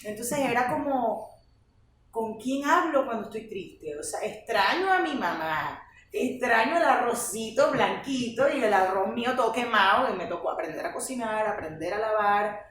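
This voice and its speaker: A young woman with a Venezuelan accent, a guest telling stories in a lively, chatty podcast interview. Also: fairly high pitch. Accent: Venezuelan Spanish. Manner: chatty, animated storytelling. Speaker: guest, flamenco teacher. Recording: roomy, distant mic, faint noise.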